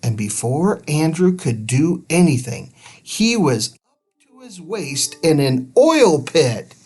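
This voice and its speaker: Slow, highly animated woman storybook reader. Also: slightly rough, soft background music. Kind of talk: expressive children's audiobook narration.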